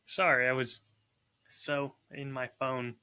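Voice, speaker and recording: A man's voice: medium pitch, flat delivery, takes it slowly, sharp tone, clean tone. A man chatting casually and informally as a podcast co-host. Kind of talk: casual chat.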